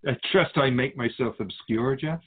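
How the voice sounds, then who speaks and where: A man's voice: mid-range voice, normal speed, breathy edge, phone-quality sound, lightly acted. A man playing a wise, wry otherworldly spirit guide in a radio drama, teaching in formal, didactic phrases. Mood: wry, teasing. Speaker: spirit teacher answering questions. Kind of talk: scripted radio drama dialogue, expository.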